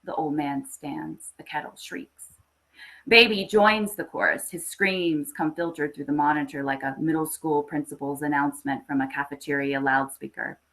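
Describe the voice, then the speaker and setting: A woman reading a literary horror short story aloud with expressive, scripted narration. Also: low voice, normal speed, rough recording. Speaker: story reader.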